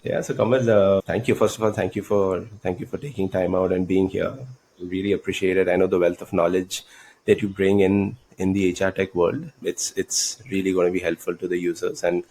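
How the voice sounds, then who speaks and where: A man's voice: sits low, level tone, fairly quick, a little mellow, poor audio. A man hosting a podcast interview, friendly and repeating himself as he welcomes his guest. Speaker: podcast host.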